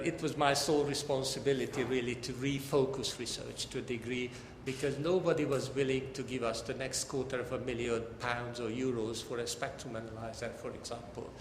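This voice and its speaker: An older man from Hungary with a Hungarian accent gives a formal academic lecture as a professor, in measured phrases that often pause mid-sentence. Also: medium pitch. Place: large lecture hall, talking to an audience. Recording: echoey lecture hall with background noise.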